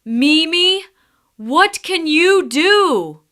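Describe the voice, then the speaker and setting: A woman's voice: very high voice, animated, very slow, bright, forceful tone, pitched above the performer's own voice, full character voice. A woman voicing a proud, grumpy male lion who rules the jungle, grumbling and exclaiming in a children's storybook. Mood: doubtful.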